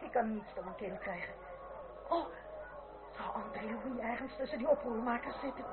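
Anxious aristocratic woman, played by an actress, high and exclamatory, period radio drama, tinny narrow-band sound. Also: normal speed, close to an everyday voice. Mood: fretful, nervous. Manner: fretful, exclamatory. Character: anxious aristocratic madame and her frightened young female companion. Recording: old narrow-band radio play, noisy crowd behind.

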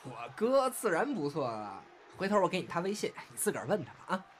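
A woman's voice: fairly low pitch, sing-song, quick pace, slight background noise. Eager young apprentice played by a woman, audio drama, swooping intonation, roomy sound. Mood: breezy.

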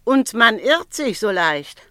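An actress playing a gossipy, knowing newspaper seller in a German radio drama, chatty and insinuating. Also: female voice, fairly high pitch, very animated, slow pace, sharp tone, no room sound, heavily acted. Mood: knowing unease. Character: gossipy female newspaper seller, uneasy busybody.

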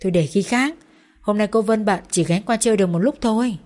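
A woman voicing a gentle, hesitant middle-aged father who speaks softly and apologetically. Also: mid-range voice, brisk, lightly acted. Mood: apologetic. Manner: soft, apologetic, unsure.

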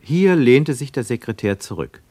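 An actor narrating a literary radio play in a measured, precise, gently ironic manner. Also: male voice, mid-range voice, very animated, no echo. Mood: measured, wry. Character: literary narrator of a Cold War journey, wry, measured.

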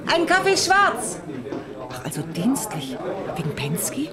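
An actress playing a chatty pub waitress in a crime radio play, familiar and teasing. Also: female voice, fairly high pitch, wildly swooping pitch, a little slow. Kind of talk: mid-century German crime radio play acting. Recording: busy pub crowd behind voice. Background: noisy pub with revellers.

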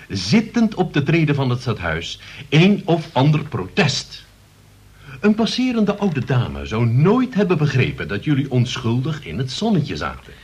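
An actor in a Dutch radio play playing a pompous, long-winded middle-aged uncle who moralizes about politics. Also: male voice, mid-range voice, husky. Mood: lecturing, earnest.

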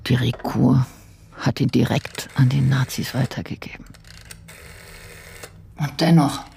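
A woman's voice: rumbling low, steady tone, a little slow, mellow, full character voice. An actress playing a sober female investigator recounting events in a radio drama. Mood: sober, reflective. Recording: clear background noise and ambience.

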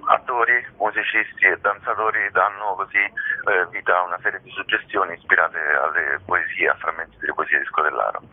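A man giving explanatory interview answers, hesitant, with frequent drawn-out hums as he thinks. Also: deep voice, steady tone, dry recording. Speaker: theatre company director interviewed about a show. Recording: tinny, narrow-band phone line.

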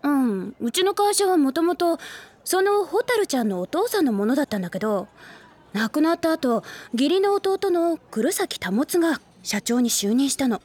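Flirty office lady, high bouncy playful voice, drama cd with faint music. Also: big pitch swings, moderate pace, a little mellow. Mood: musing. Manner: playful, coquettish. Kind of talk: anime drama CD acting.

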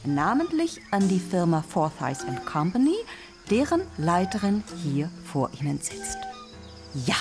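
A resolute businesswoman past fifty in a radio drama. Her voice sits low, and she talks like a practised, persuasive seller with a shrewd edge. Music plays behind her. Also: sing-song. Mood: composed, persuasive. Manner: measured, persuasive salesmanship. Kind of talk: German radio drama acting.

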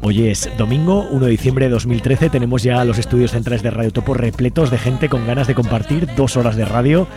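A man's voice: medium pitch, brisk. A man Spain, presenting a live community radio show as its host a studio microphone. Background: music.

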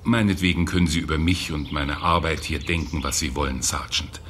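An actor playing a cynical, callous youth prison director in a German radio drama, condescending and bureaucratic. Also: male voice, very deep voice, steady tone, brisk, fairly bright tone, a little hiss or hum. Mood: cold, defensive.

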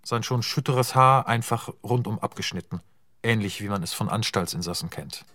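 A man calmly reading stage directions as narrator of a radio play. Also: fairly low pitch, normal speed, dry recording. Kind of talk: scripted narration.

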